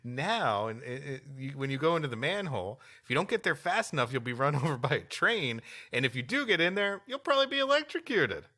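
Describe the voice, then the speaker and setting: Bright, animated male podcast co-host. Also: fairly high pitch, a little fast, few pauses. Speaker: podcast co-host and story reader. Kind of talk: casual comedic chat mixed with reading aloud.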